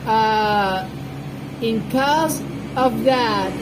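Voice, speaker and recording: A woman who is not a native English speaker, giving informal Bible commentary with personal stories in a halting way, searching for words. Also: fairly high pitch, very noisy. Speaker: scripture reader and commentator.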